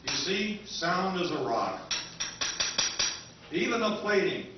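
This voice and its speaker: A pushy interstellar junk dealer pours out his sales pitch, played by a man. His fairly high voice swings widely up and down. The stage recording is distant, echoing and dull. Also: sing-song, slow, heavy background noise, exaggerated voice. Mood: persuasive. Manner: persuasive salesman patter. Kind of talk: live stage recreation of 1950s radio drama. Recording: echoey hall from far off, dull.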